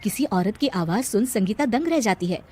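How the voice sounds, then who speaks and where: A woman's voice: mid-range voice, lively intonation, moderate pace, darkish tone, soft background music. A woman narrating a scripted story for a cartoon video.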